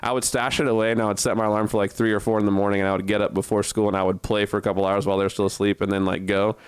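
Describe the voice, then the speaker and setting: A man's voice: on the low side, level tone, rapid-fire, smooth, dry recording. A young man co-hosting, telling stories in a casual, conversational way and repeating his points for emphasis. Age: young adult. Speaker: podcast co-host.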